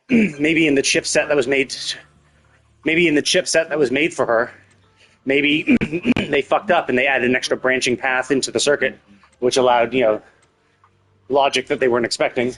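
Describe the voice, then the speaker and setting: A man with a husky voice giving casual, rambling livestream commentary, thinking aloud and often rephrasing his own questions. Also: mid-range voice, a bit bright, poor audio. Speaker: livestream host.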